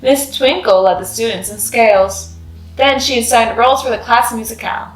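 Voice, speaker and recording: A woman's voice: on the high side, normal speed. Woman reading a picture book, roomy sound. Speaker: children's read-aloud storyteller. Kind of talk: scripted picture-book narration. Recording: roomy echo with faint music.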